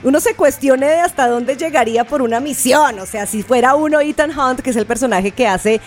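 A woman's voice: high-pitched, a little fast, soft background music. A woman presenting film news on a morning show, enthusiastic and fluent. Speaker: film critic. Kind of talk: entertainment segment chat.

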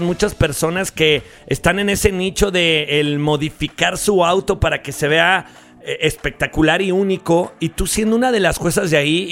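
A man hosts a relaxed conversation with a slightly high voice. He asks his questions eagerly and talks in a friendly, chatty way. Faint background music plays behind him. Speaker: host. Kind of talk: casual interview chat.

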